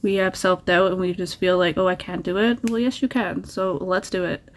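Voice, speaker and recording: A woman's voice: on the low side, fairly even intonation, normal speed. A woman talking casually through a nail art tutorial, chatty and encouraging, sharing little tips as she works.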